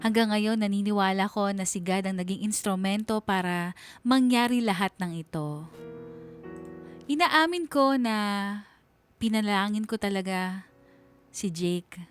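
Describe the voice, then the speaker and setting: Actress as chatty, lovestruck young Filipina narrator, music underneath. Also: female voice, medium pitch, measured pace, long pauses, lightly acted. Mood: happy, grateful. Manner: chatty, gushing. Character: giddy, lovestruck young woman telling her story. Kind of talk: dramatized letter narration.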